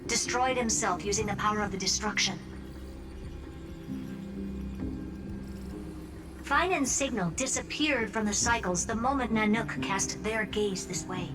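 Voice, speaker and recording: A woman's voice: mid-range voice, moderate pace, hardly any pauses, close to an everyday voice. An actress playing a confident, teasing woman genius in a video game, speaking with wry self-assurance. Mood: urgent, confiding. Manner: wry, self-assured, talking down playfully to the listener. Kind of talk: video game dialogue voice acting. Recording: loud music bed under voice. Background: background music.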